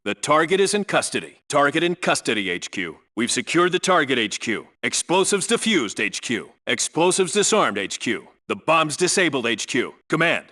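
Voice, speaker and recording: Bright, forceful special forces squad leader. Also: male voice, fairly high pitch, very animated, normal speed, few pauses. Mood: crisp, businesslike. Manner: authoritative, clipped, businesslike. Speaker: video game voice actor. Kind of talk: military video game voice lines, clipped radio reports and orders.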